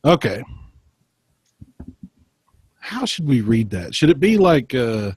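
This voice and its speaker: A man's voice: mid-range voice, sing-song, takes it slowly, a little mellow, breathy edge, dry recording. A man co-hosting a podcast in casual chat, speaking in an enthusiastic, emphatic way. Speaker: podcast co-host.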